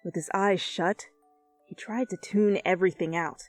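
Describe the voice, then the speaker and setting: A woman giving an expressive, theatrical dramatic reading of fan fiction as narrator. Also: lowish voice, moderate pace, no room sound. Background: background music.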